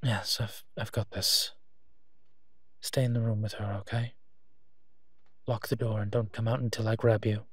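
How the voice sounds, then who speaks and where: A man playing a teasing, protective monster detective in human form, gentle and husky, in an intimate audio roleplay. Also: fairly low pitch, little pitch movement, no echo, clean studio sound. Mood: firm, teasing. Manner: slow, teasing, tender endearments.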